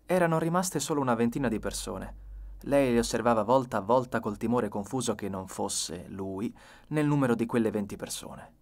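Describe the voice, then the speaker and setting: A man's voice: medium pitch, normal speed. A man reading an audiobook as narrator, in a dramatic scripted style.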